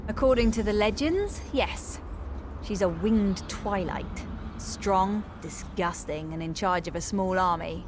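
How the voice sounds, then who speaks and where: A woman's voice: mid-range voice, a little slow, lightly acted. An actress playing a serious, wary female battle mage giving a matter-of-fact briefing in a video game. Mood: earnest, wary. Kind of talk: video game character dialogue. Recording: game ambience noise behind voice.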